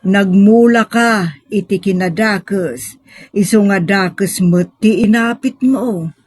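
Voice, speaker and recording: Lady doing an older motherly type preaching morals in a drama, husky voice, slow and measured. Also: medium pitch, a little mellow, dry recording, studio quality. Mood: grave, admonishing. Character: pious, motherly older woman giving moral counsel. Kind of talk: Ilocano radio-style melodrama.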